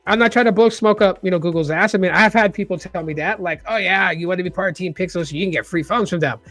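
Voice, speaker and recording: Tech host, man in his forties, light high voice, rambling casual delivery, dry room, faint music under. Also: quick pace, a bit bright, no room sound. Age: 40s. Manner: rambling, repeats words, frequent fillers. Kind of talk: casual vlog talk.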